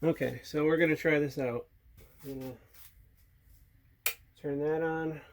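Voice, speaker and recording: A man giving a casual, unscripted hands-on demonstration, thinking aloud in a low-key way as he works at an indoor bench. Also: steady tone, measured pace. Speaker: hobbyist host showing a radio repair. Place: indoor workbench. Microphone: handheld or tripod camera mic.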